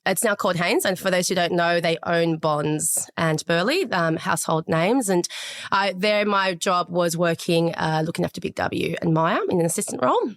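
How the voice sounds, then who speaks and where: A woman with a fairly low voice speaks as a podcast guest, recalling her early life and career. She grew up in Chile and then Australia, and talks in a thoughtful, measured way with frequent fillers. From Chile, raised in Australia. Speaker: podcast guest, sales director. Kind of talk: conversational interview.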